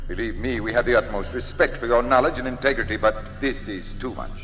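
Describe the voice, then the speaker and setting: An actor playing a skeptical, authoritative leader of an alien council, formal and oratorical in 1940s radio drama style. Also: male voice, medium pitch, fast talker, natural voice. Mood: dismissive. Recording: dull 1940s radio recording, reverberant hall, music.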